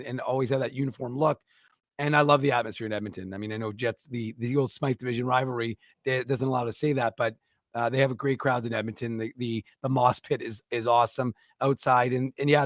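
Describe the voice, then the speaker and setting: A man's voice: mid-range voice, no echo, studio quality. A man co-hosting a casual hockey talk show, rambling with lots of restarts. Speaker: podcast co-host.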